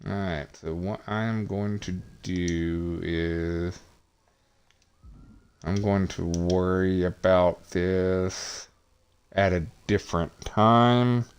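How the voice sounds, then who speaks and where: A man streaming a wrestling video game, talking in a monotonous, hesitant way with many pauses and fillers. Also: low-pitched, clean tone. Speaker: gaming streamer. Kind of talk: casual game commentary.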